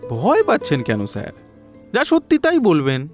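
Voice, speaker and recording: An actor playing a chatty, deferential Bengali detective who explains things point by point in an audio story. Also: male voice, a little high, wildly swooping pitch, moderate pace, soft background music. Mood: reassuring.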